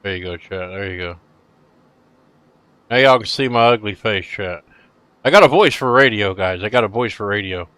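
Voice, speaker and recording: A middle-aged man streaming casually, chatting with viewers in a laid-back, rambling way and letting phrases trail off quietly. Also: on the low side, normal speed, long pauses, clear, resonant tone, no echo. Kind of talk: casual live-stream chat.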